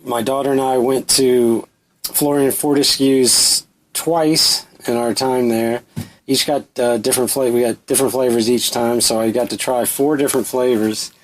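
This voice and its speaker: A man from leaving a recorded message for a podcast, plain and a little halting. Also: medium pitch, steady tone, rough recording. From Louisiana, USA.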